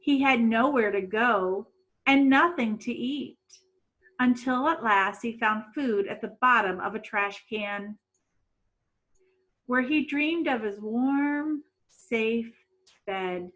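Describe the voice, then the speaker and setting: Female children's storybook reader. Bright tone, unhurried, dramatic, deliberate delivery. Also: on the high side, low-quality recording. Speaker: children's picture-book reader. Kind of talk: expressive storybook read-aloud.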